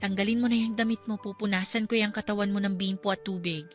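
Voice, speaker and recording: A woman's voice: mid-range voice, level tone, fast talker, natural voice. A Filipino actress in a radio drama playing a caring, exasperated young girlfriend, nagging yet tender. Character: caring, exasperated young girlfriend looking after her man. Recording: music behind.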